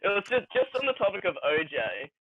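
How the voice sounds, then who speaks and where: Male podcaster, muffled lo-fi sound, animated and slightly high voice, casual comic banter. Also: fairly quick, no echo. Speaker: podcast co-host. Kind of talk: casual joking group chat. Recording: dull, lo-fi microphone sound.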